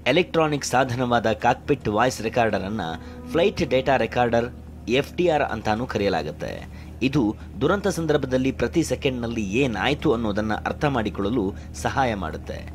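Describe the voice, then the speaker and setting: A man reads scripted news narration as a voice-over reporter. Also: medium pitch. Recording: loud music bed behind voice. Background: prominent news background music.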